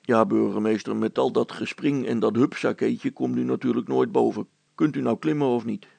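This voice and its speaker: A practical, impatient working man from a storybook, played by a male narrator. He speaks bluntly and emphatically, with an exasperated edge. The voice is husky and heavily creaky, close and dry. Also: medium pitch, steady tone, moderate pace, darkish tone, dry recording. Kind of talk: acted children's story.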